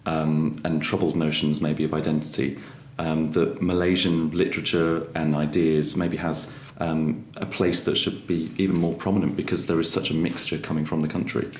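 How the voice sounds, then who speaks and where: A male interviewer with a very low voice reads out careful, courteous questions. The recording has a roomy echo. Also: flat delivery, darkish tone. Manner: measured, polite questioning. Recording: echoey room.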